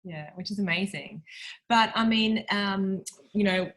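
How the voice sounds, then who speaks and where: A woman's voice: medium pitch, unhurried, a bit bright, poor audio. A woman hosting a casual video call interview, hedging her questions with lots of "I guess" and "sort of". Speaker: host.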